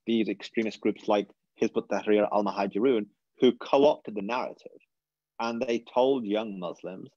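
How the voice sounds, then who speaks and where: A man's voice: fairly low pitch, a little mellow, dry recording, muffled recording. A British man in his thirties, speaking earnestly and reflectively as a guest speaker on a webinar, often restarting his sentences. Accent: British English. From England. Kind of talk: webinar talk.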